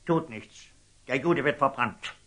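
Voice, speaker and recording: A man's voice: mid-range voice, a little slow, sharp tone. An actor playing a pompous, zealous and scheming old churchman in declamatory German radio drama style. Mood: pompous, pitiless. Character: zealous, scheming, fanatical old churchman, shifting from outrage to fawning. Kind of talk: theatrical German radio drama acting of classic stage verse.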